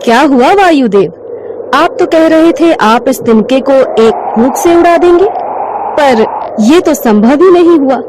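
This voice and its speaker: Woman acting high-voiced goddess, coolly taunting. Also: animated, a little slow, noisy background. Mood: taunting challenge. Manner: taunting, composed, questioning. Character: goddess as blazing orb of light, coolly challenging. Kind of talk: devotional story voice acting. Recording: music behind the voice.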